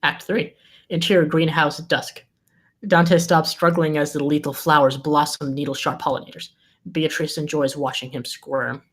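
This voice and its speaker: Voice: medium pitch, level tone, moderate pace, a bit bright, husky. A narrator reading scripted stage directions at a live table read.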